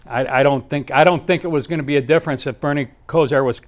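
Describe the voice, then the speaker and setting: Clear-voiced middle-aged man, rambling storyteller. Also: a little high, quick pace. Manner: rambling, repeats phrases, chuckles often. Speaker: guest, sports superfan. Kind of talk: casual anecdotal storytelling.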